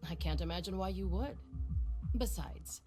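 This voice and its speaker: An actress voices a middle-aged woman director from a video game. Her voice sits a little low and stays smooth and teasing. She is condescending and manipulative, talking down as if to a pet pupil, over faint music. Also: normal speed, lightly acted. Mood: coaxing, condescending. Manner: silky, teasing, patronizing.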